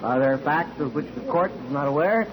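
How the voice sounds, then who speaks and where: Brief: man acting stern judge, slightly high voice, formal forties radio style, faintly noisy recording. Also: animated, moderate pace, highs cut off, lightly acted. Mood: stern, questioning.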